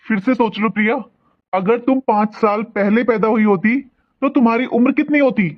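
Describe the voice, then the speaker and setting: A woman playing a patient, measured, insistent questioner in a cartoon comedy skit. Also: on the high side, highs cut off, natural voice. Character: patient questioner posing a trick riddle.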